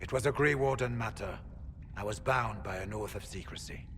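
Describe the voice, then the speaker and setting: Low, solemn warrior, echoing space. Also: male voice, fairly bright tone. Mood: grave. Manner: measured, solemn, formal. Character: grave, courteous senior warrior of a secretive order. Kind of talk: dramatic video game acting. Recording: long reverb, faint ambient noise.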